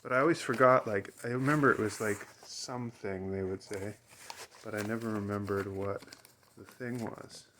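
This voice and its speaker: A man's voice: mid-range voice, noisy background. A man giving a casual, unscripted show-and-tell as a collector, hesitant and rambling, often trailing off mid-thought. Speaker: record collector and seller showing items.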